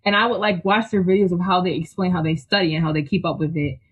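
Husky, chatty guest on lo-fi audio. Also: mid-range voice, steady tone, fast, a bit bright. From Philadelphia, US. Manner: enthusiastic, chatty, filler-heavy, laughs often. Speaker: guest, technical writer. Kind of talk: casual interview chat.

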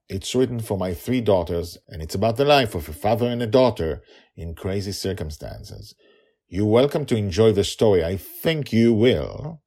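Low gravelly chatty man. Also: darkish tone. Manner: chatty, rambling, self-mocking. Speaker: podcast author and narrator. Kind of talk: casual podcast outro and credits.

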